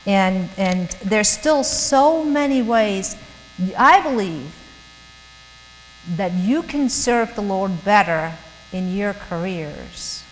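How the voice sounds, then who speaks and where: German-accented woman speaking in a hall. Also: medium pitch. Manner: earnest, chatty, laughs often. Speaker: guest speaker. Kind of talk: church talk to young professionals. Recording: hall reverb with faint mains hum.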